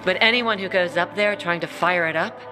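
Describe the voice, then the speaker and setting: An actor playing a tough peacekeeper commander who speaks in a gruff, curt way. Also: mid-range voice, a little fast, music in the background, close to an everyday voice, rough recording.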